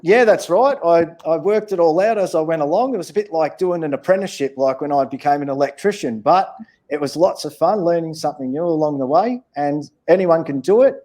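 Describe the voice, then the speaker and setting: Mellow Australian man, slightly high-pitched voice. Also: brisk. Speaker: children's author, interview guest. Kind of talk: casual interview for children.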